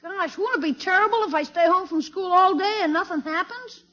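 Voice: very high-pitched, brisk. An actor playing a wisecracking, skeptical schoolboy nephew in a 1950s radio sitcom, slangy and cheeky. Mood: casual, cheeky. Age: child character. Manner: slangy, cheeky, deadpan needling. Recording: muffled, noisy old radio recording.

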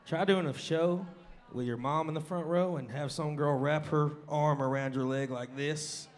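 A man's voice: fairly high pitch, fairly even intonation, moderate pace. A man, a country singer-songwriter, trading banter at a live venue show in a twangy, drawling way.

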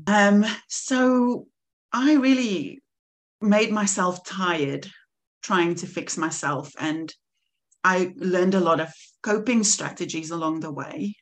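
A woman's voice: fairly low pitch, takes it slowly. A woman in her forties from South Africa with a South African accent, telling her faith story as a podcast guest, earnest and reflective. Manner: earnest and reflective, laughs easily. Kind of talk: personal testimony in conversation.

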